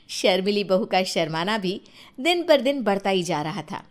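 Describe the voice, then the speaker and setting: A woman narrating a scripted story in an expressive, playful and dramatic way. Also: medium pitch.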